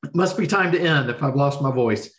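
Hoarse middle-aged podcast host. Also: male voice, on the high side, brisk. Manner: relaxed, rambling, with restarts. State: hoarse, losing his voice. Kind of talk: casual podcast interview. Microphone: video call webcam.

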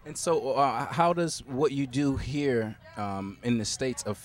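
A man co-interviewing at an outdoor festival, asking halting questions with restarts. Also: mid-range voice.

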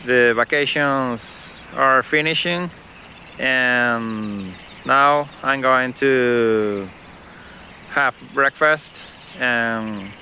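A man in his forties with Spanish as his first language, talking slowly in simple phrases into a phone held at arm's length outdoors at a riverside campsite. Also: medium pitch, sharp tone, a little hiss or hum. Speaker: vlogger. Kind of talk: casual travel vlog.

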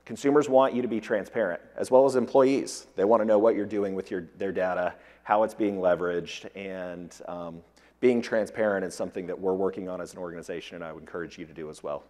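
A middle-aged man speaks as a corporate presenter on a conference stage. His voice sits on the low side, and a long hall reverb follows his words as he delivers a prepared business talk. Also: steady tone, a little fast, a little mellow. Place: large indoor conference stage before an audience.

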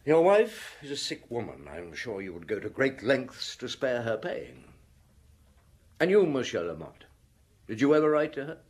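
An actor playing a shrewd, middle-aged police detective chief in a radio drama, husky and deliberate, with dryly polite authority. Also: male voice, medium pitch, big pitch swings, darkish tone. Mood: stern, probing. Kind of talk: radio drama acting, questioning and recounting.

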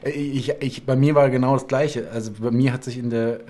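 A man in his forties with a mid-range voice hosts a relaxed interview from his home studio. He talks in a chatty, storytelling way that grows lively during his anecdotes. He speaks over a video call. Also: mid-range voice, brisk. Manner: chatty storyteller, animated when telling anecdotes. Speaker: talk show host. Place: home studio room with bookshelves and colored lights.

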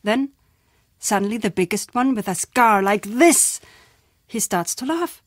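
An actress playing a very old, playful, wistful woman storyteller in a video game, speaking theatrically in unhurried phrases with dramatic pauses. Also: mid-range voice, lively intonation, no room sound, clean studio sound, natural voice. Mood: grave, reminiscing. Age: older. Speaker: game character.

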